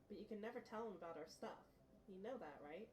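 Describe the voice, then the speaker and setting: A woman's voice: medium pitch, expressive, fast, darkish tone, a little hiss or hum, natural voice. A woman playing a frank, teasing teenage best friend with a dry, probing manner in an audio drama.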